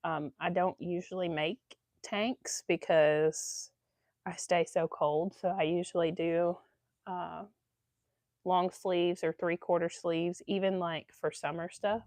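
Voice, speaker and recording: A woman's voice: on the low side, fairly even intonation, takes it slowly, a little mellow, no echo. A woman hosting a casual sewing livestream, stuffy from allergies, chatting along with lots of ums. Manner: chatty, rambling with many ums. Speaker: sewing channel host.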